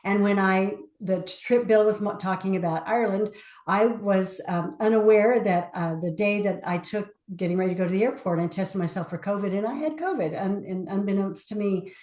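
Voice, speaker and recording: A woman's voice: medium pitch, moderate pace, a little mellow, echoey room. An older woman telling travel stories on a webinar, with many restarts and repeated words. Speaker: guest educator. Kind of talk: casual webinar chat.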